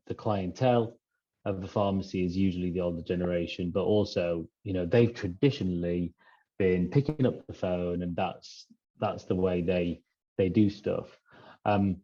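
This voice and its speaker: A man talking through ideas in a conversational podcast discussion, in a halting, thinking-aloud manner. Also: low voice, mellow, lo-fi sound. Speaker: podcast regular discussing pharmacy business.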